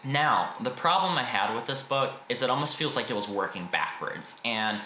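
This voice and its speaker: Bright-voiced American man in a roomy space. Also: medium pitch, lively intonation, normal speed. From United States. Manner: informal, opinionated, mild swearing. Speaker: book reviewer and video host. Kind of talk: casual spoken book review to camera. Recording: roomy echo, faint background noise.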